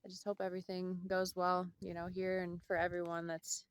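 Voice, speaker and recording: A woman's voice: lowish voice, completely flat delivery, brisk, smooth. A woman chatting casually to her camera at home, rambling with fillers and repeating herself as she talks. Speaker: vlogger.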